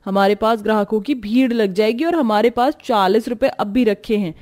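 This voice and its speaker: A woman's voice: a little high, normal speed, darkish tone, natural voice. A woman voicing a hopeful, persuasive young sister-in-law in a cartoon story, earnest and upbeat. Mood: eager, persuasive. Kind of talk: cartoon story character dialogue.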